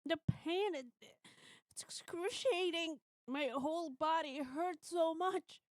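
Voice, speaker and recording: A woman hosting a casual visual novel stream, talking haltingly in a playful, teasing way. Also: very high voice, no echo, clean studio sound. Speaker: host of the livestream.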